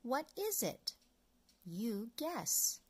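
A middle-aged woman reading an early reader picture book aloud to young children, phrase by phrase in a deliberate way. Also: a little high, big pitch swings, breathy edge. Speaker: storyteller, children's read-along host.

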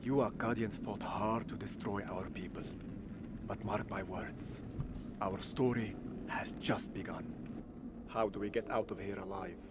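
An actor playing a defiant ancient warrior. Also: male voice, lowish voice, steady tone, a little slow, heavy background noise, lightly acted. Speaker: game character.

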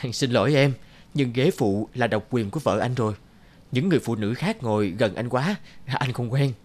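An actor playing a courteous, devoted married office man in his thirties in a cartoon, polite and earnest in measured phrases. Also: medium pitch, lively intonation, a little mellow, natural voice. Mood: polite, firm. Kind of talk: cartoon dub acting.

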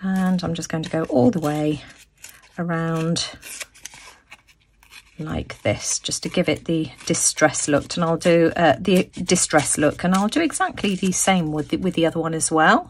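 A woman hosting a crafting video, explaining each step with plenty of ums, her voice a little croaky. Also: fairly low pitch, lively intonation, unhurried, slight background noise. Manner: frequent ums, friendly explaining. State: slightly croaky voice. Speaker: crafting channel host. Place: craft table, indoors.

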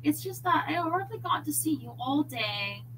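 A woman voicing an emotional, jealous girlfriend who is quick to huff and plead, in an audiobook story. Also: high voice, sing-song, sharp tone, slight background noise, pitched above the performer's own voice, heavily acted, low-quality recording. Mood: melting, relieved. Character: jealous, huffy young woman, anxious lover.

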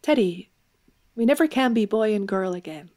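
An actress playing a spirited, blunt, affectionate young tomboy in an audio drama, exclamatory and teasing. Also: female voice, mid-range voice, expressive, normal speed, dark tone, close to an everyday voice. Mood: earnest, affectionate.

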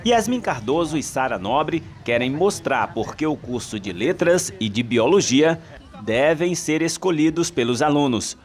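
A man from Brazil with a Brazilian Portuguese accent, reading a scripted news report in a steady, formal way. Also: a little high, unhurried.

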